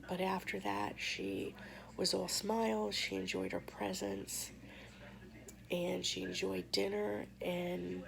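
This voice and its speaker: Hoarse, gravelly woman vlogger. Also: medium pitch. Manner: soft, halting, with many pauses and fillers. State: hoarse, losing her voice. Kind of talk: personal storytelling to camera.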